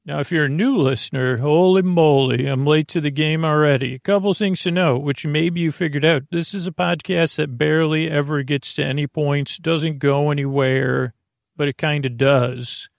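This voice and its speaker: Creaky, meandering male bedtime podcast host. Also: fairly high pitch, fairly even intonation, no echo, clean studio sound. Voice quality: creaky, gravelly. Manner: halting, meandering, self-interrupting, talks to himself. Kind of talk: rambling, whimsical bedtime monologue.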